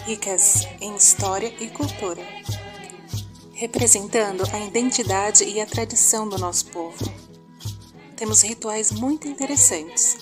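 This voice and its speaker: A young woman from Pernambuco, Brazil, reading a personal statement as a university student in an earnest, even tone. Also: mid-range voice. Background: clear background music.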